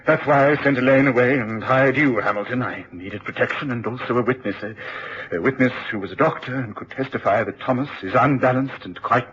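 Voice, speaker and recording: An actor in a radio drama playing an anxious, pleading English lord with a nervous, halting delivery. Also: male voice, medium pitch, a bit bright, close to an everyday voice. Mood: grave, explaining. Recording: muffled old radio recording with hiss.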